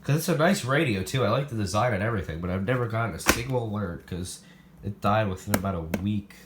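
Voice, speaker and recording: Male hobbyist presenter, low voice, casual demo style, thinking out loud, clearly noisy recording. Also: lively intonation, fast talker. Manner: rambling, thinking aloud. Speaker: collector presenting weather radios. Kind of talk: casual product demo vlog.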